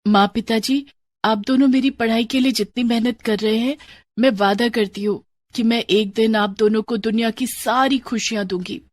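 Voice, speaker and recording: महिला की आवाज़ में मेहनती गरीब स्कूली लड़की. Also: female voice, fairly high pitch, steady tone, normal speed, dry recording, natural voice. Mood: earnest promise. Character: poor hardworking schoolgirl, earnest, dutiful and proud. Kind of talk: children's cartoon story dialogue.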